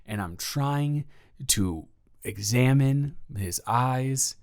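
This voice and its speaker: A man's voice: mid-range voice, animated, extremely slow, darkish tone. A man playing a flustered, self-deprecating young man who rambles and stammers in an improvised audio roleplay monologue. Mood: baffled, animated. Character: flustered, rambling young man nervously confessing to his roommate.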